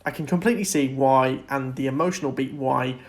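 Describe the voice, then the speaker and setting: A man's voice: medium pitch, a little fast. A man co-hosting a podcast in a casual, conversational discussion of pop culture. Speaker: podcast co-host.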